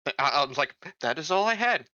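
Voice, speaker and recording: Man, tabletop player, casual roleplay talk, very forceful bright tone, dry, slightly dull recording. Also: on the high side, very animated, a little fast, no room sound.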